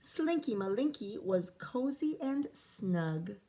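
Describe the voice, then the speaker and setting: A woman teacher reading a picture book aloud to children, pausing to ask questions and count along. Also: mid-range voice, big pitch swings, mellow. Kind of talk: children's read-aloud with commentary.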